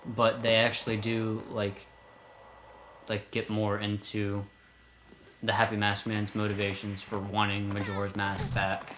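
A man with a fairly low voice chats casually as co-host of a gaming show. He rambles along and jokes crudely. Loud video game music plays behind him. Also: rough recording. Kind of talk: casual let's play banter.

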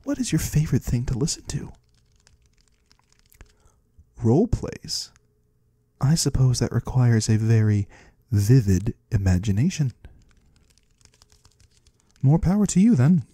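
A man's voice: medium pitch, very animated, unhurried, pauses often, mellow, slightly rough, no echo. A man playing a curious otherworldly trader in an ASMR roleplay, musing in quaintly formal words and savoring single ones close to the microphone. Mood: musing, playful. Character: curious otherworldly male trader of the shadowy void. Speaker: ASMR performer. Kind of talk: ASMR roleplay, soft slow close speech to the listener. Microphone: close microphone, dry.

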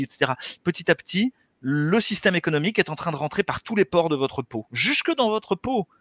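A man's voice: medium pitch, fairly bright tone, no echo. A middle-aged man, a guest on an interview show, explaining ideas earnestly over a headset mic on a video call from a small room. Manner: earnest, gestures with his hands while explaining. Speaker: interview guest, anesthesiologist and author. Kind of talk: explanatory conversational interview talk.

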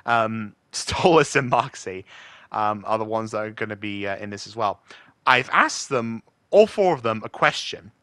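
A British man casually addressing his followers in a chatty, halting, apologetic way as an amateur voice impressionist. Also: lowish voice, lively intonation, sharp tone, no room sound. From Britain.

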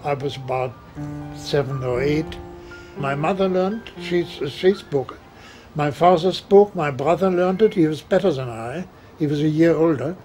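An older man recalling his childhood in a halting interview, sitting outdoors in a garden. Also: a little high, dark tone, low-quality recording. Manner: halting, repeats words. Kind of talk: reminiscing interview.

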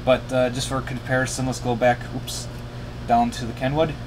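A man's voice: mid-range voice, moderate pace. A tired man giving a casual, hesitant, rambling hands-on demonstration as a radio hobbyist, thinking aloud in a small room. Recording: noisy room, steady background hiss.

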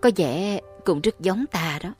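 A woman's voice: lowish voice, unhurried, faint music behind, natural voice. A woman voicing a cocky young male hero in a fantasy cartoon, teasing and grumbling.

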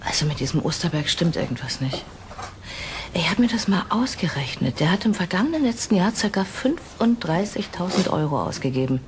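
An actress playing a sceptical police investigator in a crime drama, businesslike and direct. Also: female voice, on the low side, big pitch swings, a little fast, noisy background. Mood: analytical. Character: matter-of-fact, sceptical female police investigator. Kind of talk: crime drama acting in German.

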